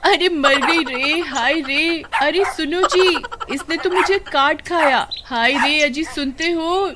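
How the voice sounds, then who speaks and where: A woman's voice: sits high, slow pace, heavy background noise, voice pushed up. A woman voicing a doting, melodramatic village wife in a moral-story cartoon, cooing and exclaiming. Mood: alarmed, wailing. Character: doting, dramatic village wife who loves her dog.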